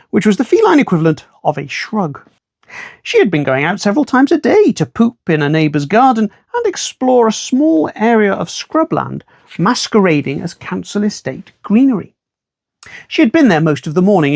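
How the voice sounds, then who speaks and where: A storyteller reading a children's book aloud in an expressive, measured, wry way. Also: medium pitch, vocal fry, dry recording, studio quality.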